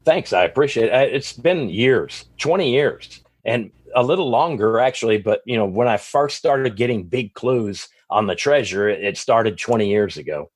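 A man's voice: medium pitch, lively intonation, normal speed, hardly any pauses, breathy edge, no echo. A man with a Texas accent, a guest author giving long, rambling answers in a podcast interview, often restarting and correcting himself. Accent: Texan.

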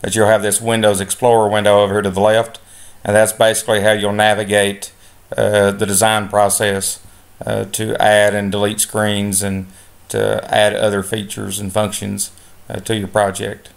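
A man giving a step-by-step software lesson over a screen recording, relaxed, with many filler sounds. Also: lowish voice, moderate pace, creaky. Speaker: instructor.

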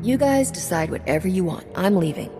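A woman's voice: on the low side, expressive, a little fast, music in the background, natural voice. An actress playing a wisecracking female smuggler captain in a video game, with a sarcastic, flippant manner. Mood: wry. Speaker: player character smuggler captain.

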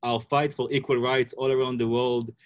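A young man with an Israeli accent, a native Hebrew speaker, speaking on a video call panel, halting and searching for words. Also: medium pitch, little pitch movement, no echo. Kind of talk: casual panel talk. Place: office room, indoors. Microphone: laptop webcam video call.

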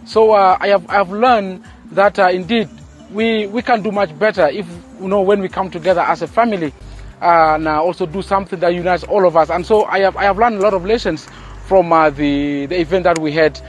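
Young man, fairly high, reflective. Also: a little fast, soft background music. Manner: reflective, repeats words as he searches. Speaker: student. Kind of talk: interview answers.

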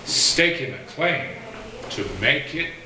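Slow-speaking male poet in an echoey room. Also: fairly high pitch, expressive, sharp tone. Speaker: poet performing at a reading. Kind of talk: theatrical live poetry recital with spoken introduction. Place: small indoor performance space. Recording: echoey, noisy room, distant live pickup.

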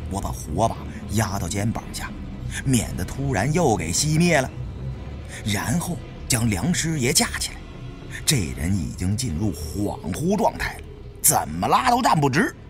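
A man narrating a suspense novel in the first person with expressive, dramatic storytelling. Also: medium pitch, measured pace, music in the background. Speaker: audiobook narrator.

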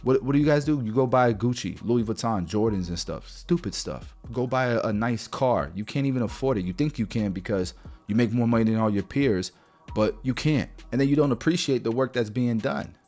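A man's voice: mid-range voice, a little fast, a little mellow, faint music behind. A man in his thirties giving a blunt, direct finance lesson to camera from a small home studio, speaking close to a podcast mic. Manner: direct, blunt, repeats "guys" and "right". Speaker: barber business mentor. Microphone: podcast mic near mouth.

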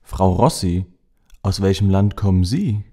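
A man reading short practice dialogues for a language lesson in a plain, measured way. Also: low-pitched, dark tone.

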